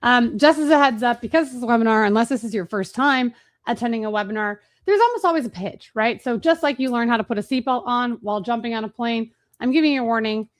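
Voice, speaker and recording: A woman hosting a marketing webinar, talking fast and casually with fillers and restarts. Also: on the high side. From Chicago, USA. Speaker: webinar host.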